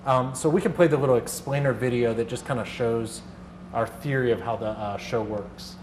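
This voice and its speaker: A man's voice: medium pitch, moderate pace, darkish tone, poor audio. A man giving an informal workshop talk as a radio organizer, conversational and telling anecdotes in reported dialogue. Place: workshop room, live talk. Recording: clear background noise.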